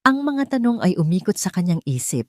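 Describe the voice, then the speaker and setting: A woman's voice: lowish voice, very animated, fairly quick, no room sound, studio quality. A woman reading a scripted story as a dramatic storyteller. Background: soft music.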